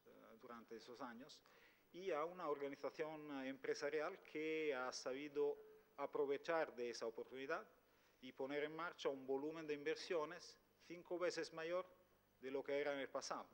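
A man's voice: fairly high pitch, moderate pace. A man, giving a formal conference presentation in a language that is not his first, hesitant and full of filler sounds, seated at a table microphone in a large hall. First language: Italian. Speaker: company executive speaker.

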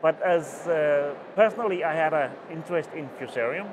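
A middle-aged Danish man with a Danish accent, giving an oral history interview at a conference and talking in halting phrases with many restarts. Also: on the high side, noisy background. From Denmark. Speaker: interviewee, mycologist. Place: small booth at a conference, indoors. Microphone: lapel mic.

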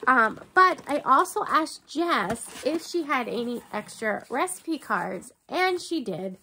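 Chatty, stuffy-nosed woman with a gravelly edge. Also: sits high, takes it slowly, a little hiss or hum, poor audio. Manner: chatty and excitable, many ums and restarts. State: stuffy nose. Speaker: book box unboxing vlogger. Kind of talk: casual unboxing chat. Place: bookshelf-lined room at home.

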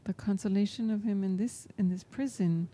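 A woman interprets a homily aloud, phrase by phrase. Her voice is very dark and mellow, at a middling pitch. The delivery halts between short phrases as she translates live. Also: moderate pace. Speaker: interpreter voicing a priest's homily in English. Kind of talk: live spoken translation of a sermon.

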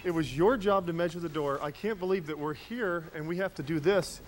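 A man's voice: a little high, a little fast, soft background music. A brash, joking man hosting a prank show, doing informal street interviews and commentary on location. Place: on location out in public.

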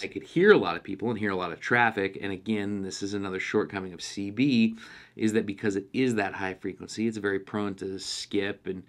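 A man talking casually through a product review in a blunt, opinionated way. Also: low-pitched. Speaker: radio gear reviewer.

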